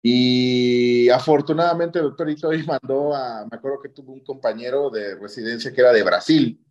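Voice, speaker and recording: The recording is slightly dull and lo-fi. A man with a Mexican accent speaks at an average pitch, recounting his experiences in a conversational way. He often closes his phrases with a short questioning tag. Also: moderate pace, darkish tone. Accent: Mexican Spanish. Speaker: interview guest, orthopedic surgeon. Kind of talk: conversational personal storytelling. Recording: dull lo-fi audio.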